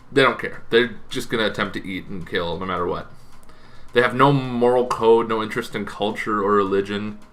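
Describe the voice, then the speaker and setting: A man with a fairly low, bright and forceful voice gives an informal explainer as host of a tabletop gaming channel. He speaks off the cuff, often correcting himself and tacking on asides. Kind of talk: casual explainer on game monsters, semi-improvised.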